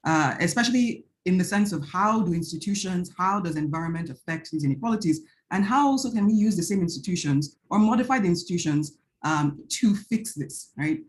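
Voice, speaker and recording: A woman's voice: lowish voice, lively intonation, a little fast, few pauses, a little hiss or hum. A woman from Nigeria with a Nigerian accent giving an earnest academic panel talk on a video call. She often checks in with "right?" and restarts her phrases as she thinks. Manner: earnest, frequent "right?" tag questions and restarts. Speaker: panel speaker, researcher.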